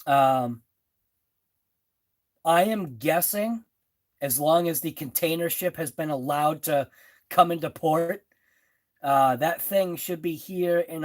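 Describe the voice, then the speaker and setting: Slow-talking Boston man chatting. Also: a little high, expressive. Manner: slow, rambling, with fillers and laughs. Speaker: host of a live stream. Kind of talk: casual live-stream chat reading comments. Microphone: phone.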